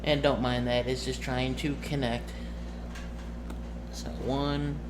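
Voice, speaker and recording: A man working as game master, casually narrating a tabletop game in a halting way, muttering through dice sums. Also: mid-range voice, level tone. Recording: clearly noisy background.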